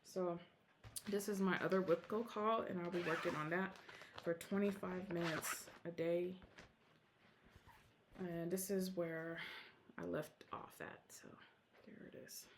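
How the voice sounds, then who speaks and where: A woman's voice: lowish voice, fairly even intonation, unhurried, long pauses, noisy background. A woman whose first language is Korean, chatting at home about her stitching projects in a rambling, self-interrupting way. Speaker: Flosstube vlogger. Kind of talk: casual show-and-tell vlog.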